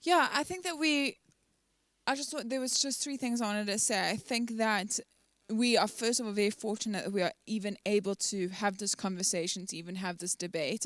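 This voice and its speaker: A woman's voice: mid-range voice, a bit bright, no room sound. A young woman speaking in an unscripted church discussion, earnest and careful in how she sets out her points. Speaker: church team member in a discussion.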